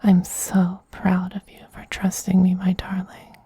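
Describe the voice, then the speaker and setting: An effeminate vampire queen, acted by a man, murmurs in a very breathy, velvety dark whisper for an ASMR roleplay. The delivery is slow, tender and quietly dominant, doting on a beloved pet with soothing, evenly pitched softness. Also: completely flat delivery, no echo, exaggerated voice. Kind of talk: soft-spoken ASMR roleplay.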